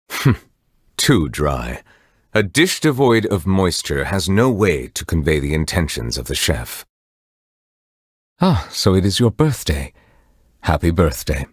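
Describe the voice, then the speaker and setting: Deep, solemn, refined judge. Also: male voice, husky, dry recording, clean studio sound, exaggerated voice. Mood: gentle, courteous. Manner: formal, measured, eloquent, reserved. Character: solemn, refined male judge, courteous otherworldly being. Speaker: voice actor in a video game. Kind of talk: scripted game character voice lines.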